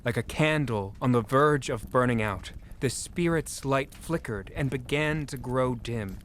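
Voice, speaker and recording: A man's voice: mid-range voice, measured pace, fairly bright tone. Male storybook narrator over background noise. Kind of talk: scripted storybook narration for audio drama.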